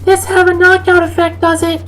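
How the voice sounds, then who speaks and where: A woman's voice: very high voice, little pitch movement, normal speed, a bit bright, soft background music, pitched above the performer's own voice. A woman voicing a naive young girl painter from a cartoon, in a fan fiction reading. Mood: suspicious.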